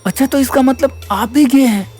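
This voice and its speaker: Man acting timid, troubled young student, high voice. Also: normal speed, darkish tone, soft background music. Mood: wary. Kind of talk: Hindi audio drama acting.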